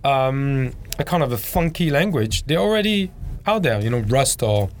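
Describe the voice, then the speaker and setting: A middle-aged man from Hong Kong, a native French speaker, answering as a guest in a relaxed podcast interview, rambling and joking with many restarts. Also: mid-range voice, moderate pace. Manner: rambling, jokey, repeats words.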